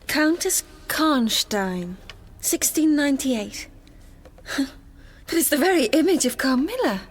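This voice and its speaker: A sheltered young lady in a gothic audio drama, dreamy and hesitant. Her voice is high and breathy, with faint ambience behind. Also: expressive, slow pace, slight background noise. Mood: excited, wondering.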